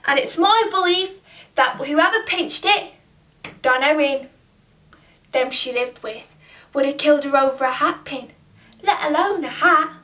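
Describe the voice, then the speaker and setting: A woman's voice: sits high, unhurried, pauses often, fairly bright tone. A woman playing a brash young flower girl with a Cockney accent, gossiping in a chatty way, recorded from a distance. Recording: distant camera mic.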